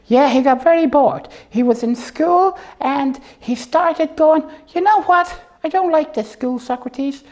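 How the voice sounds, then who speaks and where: Tipper, a high-pitched know-it-all puppet played by a woman. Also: measured pace, hardly any pauses, darkish tone. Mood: confident, rambling. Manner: confidently muddled, rambling. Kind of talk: improvised comic puppet show storytelling.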